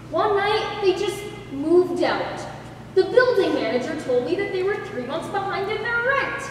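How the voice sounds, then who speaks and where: A woman's voice: very high voice, full character voice. A young woman acting a wry apartment dweller telling an emphatic monologue in a school stage play. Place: school theatre, stage performance. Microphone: distant audience camera. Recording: echoey hall from far off, faint noise.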